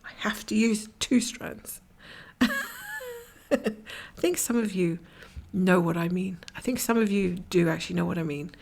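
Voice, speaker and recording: A middle-aged woman from Scotland chatting casually while she cross-stitches at home, rambling from topic to topic and often dropping to a whisper, her voice croaky and her nose blocked. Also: on the low side, a little slow, a little mellow. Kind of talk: casual stitch-along chat. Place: at home, camera over her stitching hoop.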